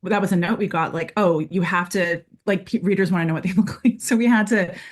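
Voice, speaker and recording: A chatty woman speaking as a guest in a casual interview. Her voice sits a little low, and she talks loosely with many fillers, breaking into quoted lines now and then. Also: quick pace. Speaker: co-writer, guest.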